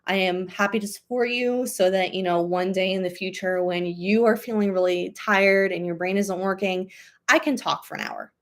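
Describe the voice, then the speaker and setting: A woman's voice: medium pitch, steady tone, normal speed. A woman in her thirties hosting a live question-and-answer stream as a language coach, talking casually and upbeat with lots of "um" and "like". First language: English. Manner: chatty, upbeat, many fillers like "um" and "like".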